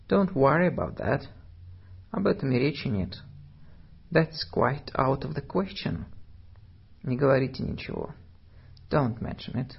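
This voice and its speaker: A man slowly reading phrasebook sentences in Russian and English, one by one, for learners. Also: mid-range voice, gravelly, slightly rough, highs cut off. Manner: slow, deliberate phrase-by-phrase reading. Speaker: language teacher.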